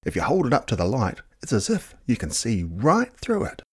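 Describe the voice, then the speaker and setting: A man reading a children's picture book expressively, dramatic, with hushed and drawn-out words. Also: mid-range voice, wildly swooping pitch, slightly rough. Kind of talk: expressive children's story narration.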